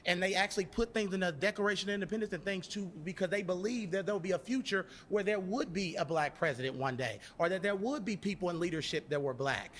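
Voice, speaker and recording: A man in his thirties makes his case in a heated debate. He keeps pushing back hard. His voice sits high and trails off into a long reverb, with faint ambience underneath. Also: fast talker, few pauses, fairly bright tone, a little hiss or hum. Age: 30s. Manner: emphatic, insistent, pushes back hard. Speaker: debate guest.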